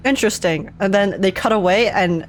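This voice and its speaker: A woman's voice: mid-range voice, fairly quick. A young adult woman giving casual game reaction commentary, chatty and informal, thinking aloud as she goes. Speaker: gaming streamer. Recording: music playing behind the voice.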